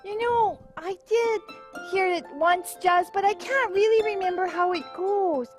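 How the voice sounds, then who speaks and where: A curious puppet listener, voiced by a woman who gasps and asks questions with a very high voice. Faint music sits in the background. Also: measured pace. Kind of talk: children's puppet show acting.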